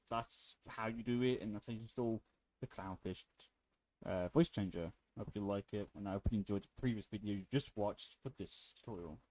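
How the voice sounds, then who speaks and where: A man giving a casual, unscripted software tutorial in an informal, offhand way as the presenter. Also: lowish voice, poor audio.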